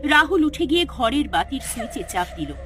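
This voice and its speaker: A woman's voice: high-pitched, very animated, normal speed. A woman narrating a scripted horror story in a dramatic storytelling style. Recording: music behind the voice.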